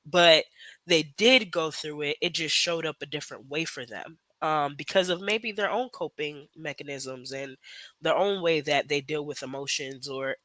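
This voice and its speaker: A young woman in her twenties talks through her thoughts as a podcast guest. She is reflective and hedges often. Her voice is bright and a bit tense, recorded dry and close. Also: low voice, moderate pace, no echo. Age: 20s. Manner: reflective, thoughtful, with frequent hedging fillers. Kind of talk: casual conversational interview.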